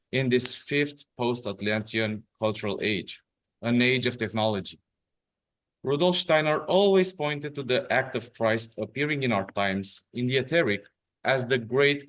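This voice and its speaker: A man's voice: mid-range voice, fairly bright tone. A young man from Romania with a Romanian accent, reading a prepared introduction as a webinar host, careful and a little tense.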